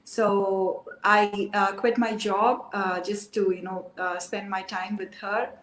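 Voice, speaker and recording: A woman's voice: mid-range voice, little pitch movement, slow pace. Hesitant woman in a roomy space. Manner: hesitant, many fillers. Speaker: guest. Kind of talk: casual chat. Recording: roomy with faint noise.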